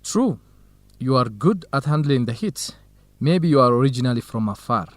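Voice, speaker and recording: Man acting a kind young student, mid-pitched. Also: animated, normal speed, dry recording, close to an everyday voice. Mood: teasing, thoughtful. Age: young adult. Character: Khalid, young Ethiopian student researcher, kind, earnest, helpful friend. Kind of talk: radio drama dialogue for English learners.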